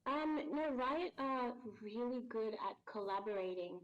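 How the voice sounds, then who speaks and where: A woman voice actor being interviewed as a guest, explaining her work in a measured, thoughtful way with many pauses. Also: a little high, lively intonation. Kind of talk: casual interview chat. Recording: dull lo-fi sound.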